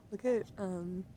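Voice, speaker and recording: A woman live-streaming ASMR, chatting casually and playfully to viewers in a voice that drifts between soft speech and hushed whispering. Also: medium pitch. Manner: playful, giggly, easily sidetracked. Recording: clearly audible background noise.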